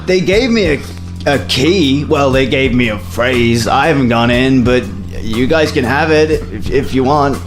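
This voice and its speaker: A man's voice: medium pitch, measured pace, natural voice. A man voicing a tiny muscle-bound stoner gnome, friendly and a bit dim, with slangy, drawling bro talk. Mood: laid-back, friendly. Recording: music playing under the voice.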